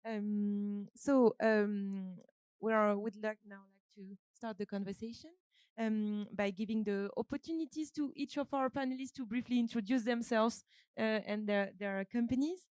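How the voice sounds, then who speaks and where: A woman moderating a business panel, introducing speakers in a measured way with frequent ums. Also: mid-range voice, fairly even intonation, darkish tone, clear, resonant tone, no room sound. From France.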